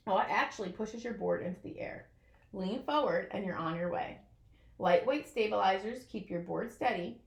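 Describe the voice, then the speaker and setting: Woman doing a kids book read aloud, expressive, bit of vocal fry, echoey room. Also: medium pitch. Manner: expressive, addressing young listeners. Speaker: storybook reader.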